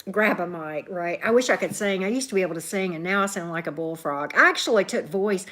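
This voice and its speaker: Deep-voiced woman chatting on a livestream. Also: lively intonation, brisk, fairly bright tone. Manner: chatty, keeps asking "right?", greets commenters by name. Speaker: tarot reader hosting a livestream. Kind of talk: casual livestream chat with viewers.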